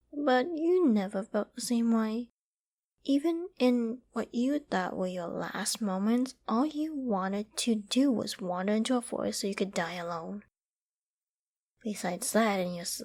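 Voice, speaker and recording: A woman playing an obsessive, lovesick young survivor in an intimate roleplay drama, sweetly controlling, affectionate and possessive. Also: medium pitch. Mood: wounded, resentful. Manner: affectionate, giddy, possessive, with breathy sighs and giggles.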